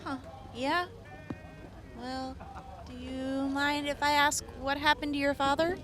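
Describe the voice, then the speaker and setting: A woman's voice: sits high, little pitch movement, takes it slowly, a bit bright. A woman playing an upbeat young army medic in an audio drama, chatty and giggly, stammering when flustered. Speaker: field medic.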